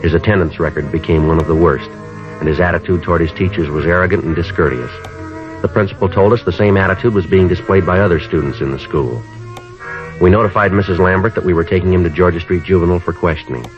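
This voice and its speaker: A man acting a stern, calm police detective in a 1950s radio drama, speaking in a clipped, matter-of-fact way. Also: low voice, natural voice. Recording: muffled old radio recording, faint music.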